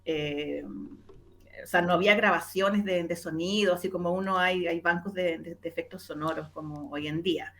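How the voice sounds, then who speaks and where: A woman's voice: on the low side, steady tone, normal speed, a bit bright. A woman with a Chilean accent giving casual interview answers, explaining eagerly and often repeating words as she searches for the next one. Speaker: interviewee, radio drama maker.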